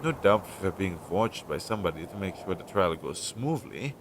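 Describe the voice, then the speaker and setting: A young man playing an anxious, earnest and loyal crow familiar in a roleplay audio drama, hesitant and chatty as he thinks aloud, on a low-quality amateur recording. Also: expressive, moderate pace, background noise. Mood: protective, flustered. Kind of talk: roleplay audio drama, intimate one-sided dialogue.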